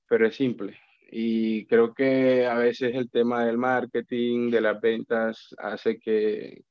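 A man's voice: medium pitch, no room sound. Man in his thirties, Colombian Spanish accent, reflective casual talk, muffled lo-fi audio. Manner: reflective, many restarts and repeated words. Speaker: guest, account manager. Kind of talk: casual podcast conversation.

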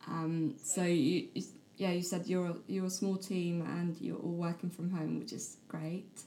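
Encouraging low-voiced woman host. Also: level tone, measured pace, mellow, slight background noise, rough recording. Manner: encouraging, affirming interviewer. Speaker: podcast host. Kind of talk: casual interview hosting.

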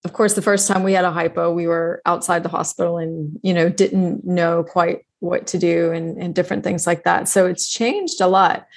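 A woman's voice: fairly low pitch, steady tone, normal speed, few pauses. A woman hosting a podcast interview, introducing her guest and asking questions in an earnest, conversational way. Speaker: podcast host.

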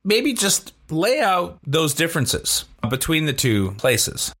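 A man's voice: a little high, big pitch swings, takes it slowly, a bit bright. A man hosting a business podcast, introducing his guest in an upbeat, conversational way. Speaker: podcast host. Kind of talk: podcast interview and scripted intro.

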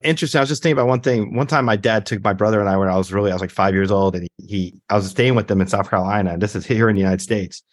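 A man's voice: lowish voice, fairly even intonation, very fast, hardly any pauses. A man hosting a podcast interview who works as an attorney, asking questions in an earnest, sympathetic way. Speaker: podcast host.

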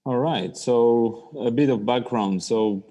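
A man from Argentina, a chef, telling stories in a relaxed podcast interview, with slightly non-native phrasing. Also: mid-range voice, slow. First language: Spanish. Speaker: chef, guest. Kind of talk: casual interview.

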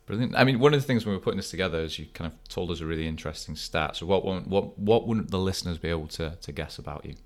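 A man hosting a podcast, giving a brisk, upbeat introduction and asking interview questions. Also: low voice. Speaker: podcast host.

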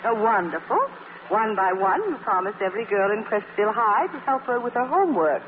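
A woman's voice: mid-range voice, fairly quick, lightly acted. An actress playing a self-assured, wryly amused woman in 1940s radio comedy-drama, with a dry, teasing manner. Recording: tinny, noisy 1940s radio broadcast.

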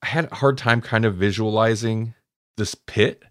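A man co-hosting a casual review podcast, animated as he talks and voicing reactions. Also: fairly low pitch, normal speed, no room sound, clean studio sound. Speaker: podcast co-host.

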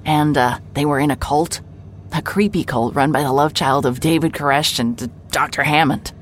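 Woman acting a very low-voiced, sardonic female private eye. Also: moderate pace, a little hiss or hum, natural voice. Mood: sarcastic, exasperated. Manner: sarcastic, deadpan. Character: hard-hearted, sardonic female private investigator. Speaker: lead actor in an audio drama. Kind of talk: cinematic audio drama acting, noir narration.

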